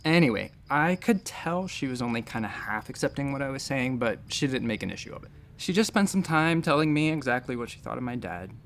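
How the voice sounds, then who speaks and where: An actor playing a wry, self-deprecating space station doctor in a sci-fi audio drama, chatty and a little defensive. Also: male voice, medium pitch, expressive, fairly quick, creaky, hardly any pauses, a bit bright, a little hiss or hum. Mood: rambling, candid.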